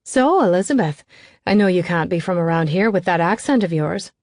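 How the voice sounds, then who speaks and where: A woman's voice: on the low side, animated, a little fast, darkish tone, no room sound, studio quality, lightly acted. A woman voicing a perky, overly friendly young woman who talks eagerly. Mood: bubbly. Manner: bubbly, eager, chatty.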